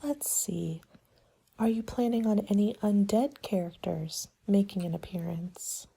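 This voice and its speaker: A woman performs a soft-spoken ASMR roleplay with a dark, relaxed tone and a breathy, husky edge. She talks in a polite, measured, businesslike way, like a consultant taking a client through options. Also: medium pitch. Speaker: party planning agent in an ASMR roleplay.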